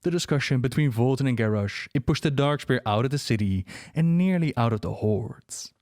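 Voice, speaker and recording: A man narrates game lore as a scripted voice-over with conversational asides. His pitch is average for a man, and the recording is close and dry, with no room sound. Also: animated, fairly quick, darkish tone, dry recording.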